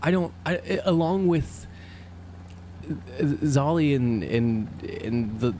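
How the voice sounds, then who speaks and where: A man talking as a player in a tabletop game, halting and deliberate as he searches for words. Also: on the high side, lively intonation, warm tone, a little hiss or hum. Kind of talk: casual in-character discussion.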